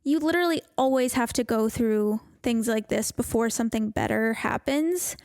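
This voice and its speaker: A young woman with a fairly high voice and a lot of vocal fry chats on a podcast, upbeat and full of "like"s. The sound is dry and close. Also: normal speed, a little mellow, no room sound. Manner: chatty, filler-heavy, upbeat. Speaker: podcast co-host. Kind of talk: casual chat.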